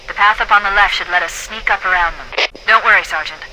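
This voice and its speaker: A woman playing a poised, confident military AI companion in a video game, giving crisp tactical orders and briefings. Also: medium pitch, fast, bright, forceful tone, slight background noise, rough recording. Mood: brisk, commanding. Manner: crisp, authoritative, articulate tactical delivery. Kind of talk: video game voice acting, military radio dispatches.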